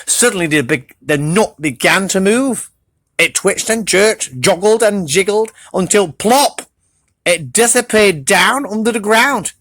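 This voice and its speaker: A storybook reader narrating for children in an animated style. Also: medium pitch, measured pace, no room sound.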